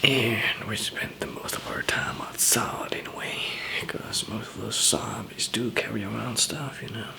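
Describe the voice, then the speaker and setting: A man softly whispering and murmuring in an ASMR roleplay, explaining things gently and haltingly as a survivor. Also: fairly even intonation. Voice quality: soft whispering mixed with low murmur, gravelly. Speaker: ASMR roleplayer playing a survivor camp member handing out supplies.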